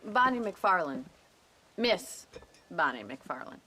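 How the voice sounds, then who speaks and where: A woman playing a blunt, sharp-tongued young ranch woman in a Western video game, frank and chiding, with an American accent. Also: fairly low pitch, big pitch swings, takes it slowly, exaggerated voice. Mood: probing, wry. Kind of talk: dramatic video game cutscene acting.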